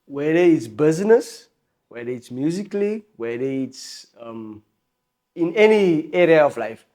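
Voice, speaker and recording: South African male musician guest, emphatic podcast talker, slightly higher voice. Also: a little slow. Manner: animated, emphatic, repeats phrases, laughs often. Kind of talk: casual podcast conversation.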